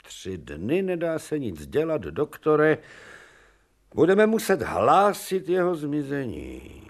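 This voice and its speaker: Imperious professor, wildly swinging pitch. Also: male voice, huge pitch swings, creaky, exaggerated voice. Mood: weary, muttering. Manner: commanding, theatrical, barking questions and muttering. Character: imperious, exasperated older male professor of surgery. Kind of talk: Czech radio drama acting.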